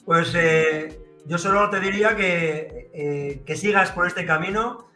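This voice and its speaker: A man from hosts a relaxed fitness podcast, talking with a bright, somewhat high voice. He affirms his guest readily and fills pauses with hesitant sounds. The audio has a noticeably roomy echo from a video call. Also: soft background music. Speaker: podcast host and trainer. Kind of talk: casual interview chat.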